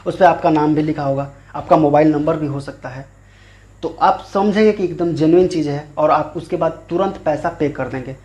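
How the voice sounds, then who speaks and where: A man's voice: a little high, a little mellow. A man hosts an explanatory awareness video. He speaks informally, often restating his points in other words as he goes. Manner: informal, repetitive, rephrases often. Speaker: YouTube channel host. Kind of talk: explanatory scam-awareness tutorial.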